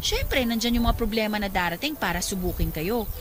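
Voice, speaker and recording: A woman's voice: mid-range voice, lively intonation, brisk, natural voice. A woman playing a caring, chatty young younger sister in a radio drama. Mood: reassuring. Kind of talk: Tagalog radio drama acting. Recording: loud music under the dialogue.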